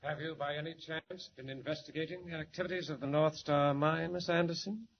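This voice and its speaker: Guy doing a sly crook, mocking and menacing, a bit high, old dull radio with hiss. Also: fairly even intonation, fairly quick, lightly acted. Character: smooth menacing male swindler, middle-aged. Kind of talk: 1940s radio acting.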